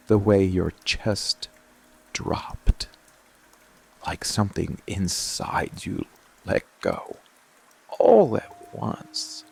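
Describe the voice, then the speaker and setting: Man acting a very gravelly, slow seductive monster. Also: full character voice. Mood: brooding, tender. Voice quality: low gravelly voice, breathy whispered edges. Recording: music and rain behind voice. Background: music, rain.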